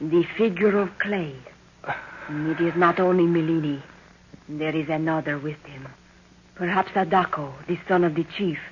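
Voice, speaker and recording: A woman's voice: low voice, moderate pace, lightly acted. An actress playing a proud, commanding young African witch woman in a 1930s radio adventure, with solemn, stilted, formal speech. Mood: grave, probing. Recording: muffled, noisy 1930s radio recording.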